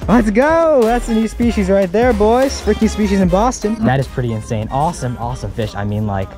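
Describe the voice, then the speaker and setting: A young man hosting a fishing vlog outdoors, talking excitedly to a handheld camera as he narrates each catch. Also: high voice, a little fast, over music. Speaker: fishing vlogger host. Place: outdoors by a pond and city river.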